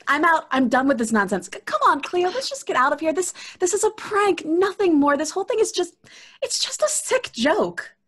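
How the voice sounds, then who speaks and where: A woman's voice: very high voice, sing-song, normal speed, a bit bright, full character voice. A woman playing an angry, frightened, foul-mouthed young daughter who stammers in a horror audio drama. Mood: panicked. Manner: agitated, stammering, sweary. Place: home, on a video call.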